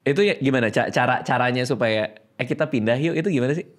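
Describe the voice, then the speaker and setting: A man with a Jakarta accent hosting a casual talk show, asking questions eagerly and reacting often with excitement. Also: medium pitch. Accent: Jakarta Indonesian. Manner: animated, eager, rapid interjections. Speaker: host. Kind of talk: casual chat.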